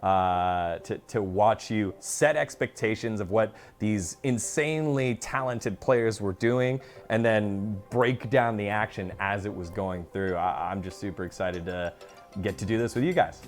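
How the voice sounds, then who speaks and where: A man in his thirties chatting casually and enthusiastically as an esports host, with an upbeat, animated manner. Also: fairly low pitch, a little slow, soft background music. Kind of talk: casual enthusiastic interview chat.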